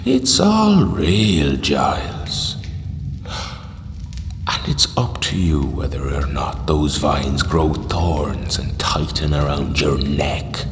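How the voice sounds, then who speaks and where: A man acting in an audio drama as a calm, zealous cult leader, speaking solemnly and grandly with hushed menace. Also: extremely low pitch, slow pace, exaggerated voice. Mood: ominous, reverent. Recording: echoey hall with long reverb.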